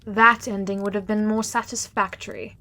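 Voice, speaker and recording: A stern, vengeful afterlife judge. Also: female voice, medium pitch, level tone, moderate pace, bright, forceful tone. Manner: cold, unyielding.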